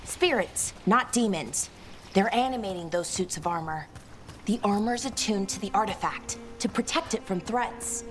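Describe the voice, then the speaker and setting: An actress playing a young, naive elf researcher in a video game, excitable and halting in manner. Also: female voice, mid-range voice, faint music behind, close to an everyday voice. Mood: earnest. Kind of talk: video game character acting.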